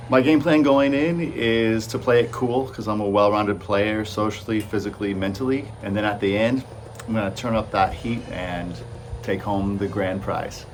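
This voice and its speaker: An easygoing man in his forties from Texas gives a sincere contestant intro. His voice sits slightly low, with a faint hum behind it. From Texas, USA. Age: 40s. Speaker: reality game contestant. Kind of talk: relaxed intro to camera.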